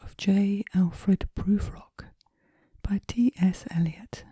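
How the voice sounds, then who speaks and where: A woman reads poetry for relaxation in a soft, breathy whisper, with a gentle, measured, hushed delivery in ASMR style. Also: mid-range voice, no room sound. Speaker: poetry reader.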